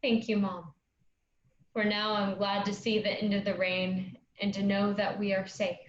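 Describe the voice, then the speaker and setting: A woman playing an earnest young travelling player in a staged reading of a play, speaking with measured delivery. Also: medium pitch, flat delivery, close to an everyday voice. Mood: wistful. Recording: echoey, dull lo-fi, noisy room.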